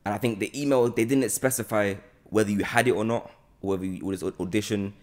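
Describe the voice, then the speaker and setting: A man's voice: fairly low pitch, fairly even intonation, fast, darkish tone. A young adult man with a London accent hosting a casual chat, playful and repeating phrases for emphasis, on a close podcast mic. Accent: London British. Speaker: podcast host, actor. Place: bright indoor open-plan room on a sofa. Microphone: close podcast mic on boom arm.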